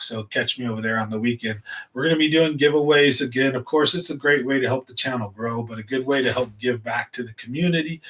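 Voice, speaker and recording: A man talking loosely to his online audience, drifting from topic to topic with lots of ums and likes. His voice sits at an ordinary middle pitch for a man. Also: a bit bright, low-quality recording. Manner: rambling, easygoing, lots of fillers. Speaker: fishing channel host. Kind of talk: casual livestream chat to viewers.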